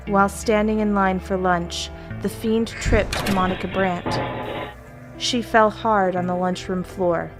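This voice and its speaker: A woman narrating a scripted horror story in a measured, deliberate way with pauses. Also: on the low side. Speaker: story reader. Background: music.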